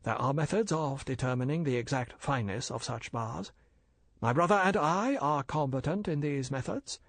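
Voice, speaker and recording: A man acts out an older Chinese merchant in an audiobook story. He is bland, suave and endlessly courteous, choosing his formal words slowly and deliberately. The sound is dry and intimate. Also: medium pitch, fairly bright tone, no echo. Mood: smoothly reassuring. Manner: measured, deliberate, formal, politely evasive.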